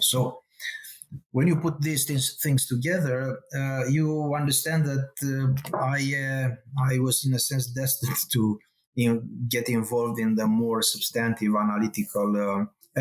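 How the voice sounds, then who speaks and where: A middle-aged man with a Romanian accent, a guest scholar answering interview questions in a measured, halting way with many pauses and fillers. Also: medium pitch, low-quality recording.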